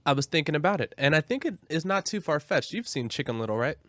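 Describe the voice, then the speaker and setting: A man's voice: on the high side, big pitch swings, quick pace, a bit bright, no echo. A man co-hosting a podcast in casual comic chat, slangy and sweary, acting out hypothetical scenes. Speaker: podcast co-host.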